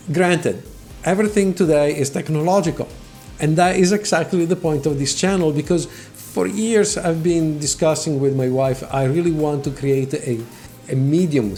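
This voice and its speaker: A man's voice: a little high, warm tone. A middle-aged man from Italy with an Italian accent, hosting his own tech channel and speaking earnestly to viewers, repeating words as he goes. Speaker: channel host. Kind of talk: talking to camera, motivational explainer. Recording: faint music behind voice.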